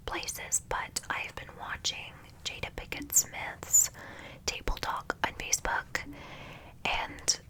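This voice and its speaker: A woman's voice: pushed and bright, rough recording. Whispering young woman, congested and nasal. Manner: chatty, gushing, many ums. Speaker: ASMR creator. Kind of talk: whispered ASMR chat.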